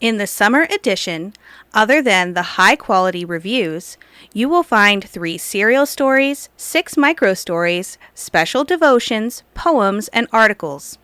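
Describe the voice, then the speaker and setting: Clear, smooth woman reading a promo. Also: mid-range voice, expressive, unhurried, a bit bright, no echo. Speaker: magazine publisher. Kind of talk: scripted promotional announcement.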